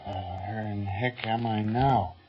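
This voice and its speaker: An actor playing a scrappy, hot-headed, folksy pilot sidekick, blustering in slangy exclamations in 1930s radio serial style. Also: male voice, lowish voice, steady tone, normal speed, natural voice. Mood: groggy, bewildered. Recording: muffled 1930s radio recording.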